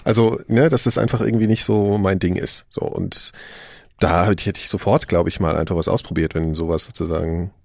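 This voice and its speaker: Fast-talking man with some creak. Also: low voice, steady tone, darkish tone, no room sound, clean studio sound. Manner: informal, rambling, thinks aloud. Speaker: host of a discussion. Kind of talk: casual interview chat.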